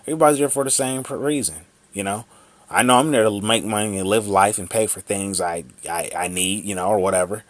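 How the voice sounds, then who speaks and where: A man in his thirties vents into his camera in a heated, profane monologue, circling back to the same points again and again. His voice sits fairly low, and the recording is dry with almost no room sound. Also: fairly quick, no echo. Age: 30s. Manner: angry, profane, repetitive ranting. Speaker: vlogger. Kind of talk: ranting vlog monologue.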